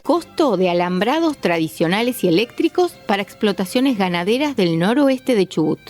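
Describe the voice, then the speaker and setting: A woman's voice: medium pitch, animated, moderate pace, a little mellow. An Argentine woman reading scripted headlines and items on a regional farm news show. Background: faint music bed.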